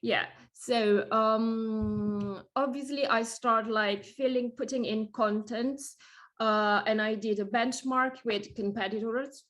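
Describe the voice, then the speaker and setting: A woman's voice: on the high side, steady tone, slow pace, a bit bright. A woman whose first language is Italian talks about her work on a video call. She rambles in a friendly, chatty way, trailing off and restarting often, with frequent "like" and "um". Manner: chatty, many fillers and restarts. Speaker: guest interior designer. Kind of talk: casual video call chat.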